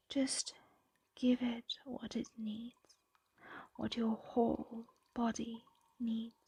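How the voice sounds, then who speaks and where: Lady playing dragon captor asmr, hushed hypnotic whisper, soft dark tone, barely any pitch movement, possessive and doting, close mic. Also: dry recording, heavily acted. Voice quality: breathy whisper. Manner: slow, hushed, hypnotic coaxing. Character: possessive, doting female dragon captor soothing their pet. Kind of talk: ASMR roleplay. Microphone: close microphone.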